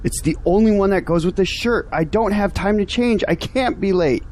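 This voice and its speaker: Man acting earnest young graduate, discouraged, slightly high soft-toned voice, audio drama, light background noise. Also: moderate pace, natural voice. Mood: flustered. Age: young adult. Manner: earnest, complaining.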